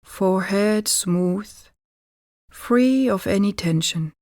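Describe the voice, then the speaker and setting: A woman guiding a relaxation meditation as a yoga teacher, calm and deliberate, giving gentle instructions with pauses. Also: medium pitch, no room sound, clean studio sound.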